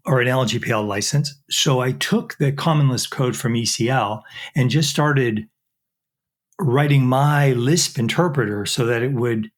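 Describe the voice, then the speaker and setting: Thoughtful middle-aged man, halting explanations. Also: medium pitch. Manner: thoughtful, frequent fillers and restarts. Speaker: guest, chemistry professor. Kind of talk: technical interview.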